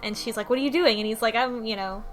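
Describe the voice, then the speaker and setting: A woman's voice: fairly high pitch, quick pace. A woman talking casually in a group discussion about a game, as one of the panelists. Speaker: podcast panelist. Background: background music.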